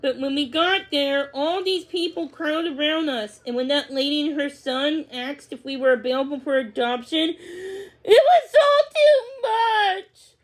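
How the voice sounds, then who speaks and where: Storybook casting: timid young female dog, acted by a woman, very high voice, plaintive and emphatic. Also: lively intonation, unhurried. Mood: tearful, confessing. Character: anxious, timid young female dog confessing her fears.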